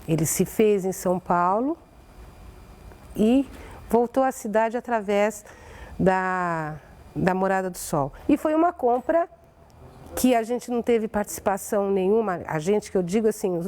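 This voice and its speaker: A Brazilian woman speaks in an interview style. Her voice is mellow and mid-pitched, and she takes her time, halting and pausing to stress her words. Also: slight background noise. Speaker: radio station executive. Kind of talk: interview reminiscence.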